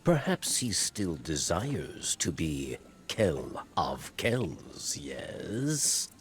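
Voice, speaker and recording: A humbled old alien scribe from a video game, with a low voice that moves very slowly. The phrasing is halting and theatrical, and the pitch swings widely from word to word. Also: male voice, big pitch swings, a little hiss or hum, exaggerated voice. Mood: wary, halting. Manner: halting, broken phrasing, speaks of himself in third person.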